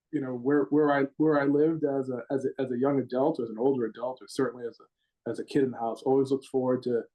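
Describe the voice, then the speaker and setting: A man's voice: mid-range voice, warm tone, low-quality recording. A middle-aged man with a Rhode Island accent, a coach answering podcast interview questions in a rambling way, with many repeated words and restarts. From Rhode Island, USA. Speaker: gymnastics head coach, guest.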